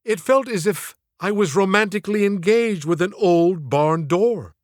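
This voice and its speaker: A man reads a comic audiobook in a fairly high-set voice. His delivery is theatrical and wry, with mock-formal phrasing. The sound is very dry, free of room reflections. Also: normal speed, no echo. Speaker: audiobook narrator and author. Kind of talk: comic scripted storytelling narration.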